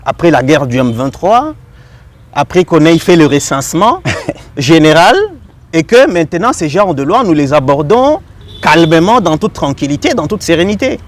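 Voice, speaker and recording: A man hosts a political interview in a moderately high voice. He pushes back with examples and questions, often feeling his way through a phrase before finishing it. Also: very animated, normal speed. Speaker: TV interviewer and host.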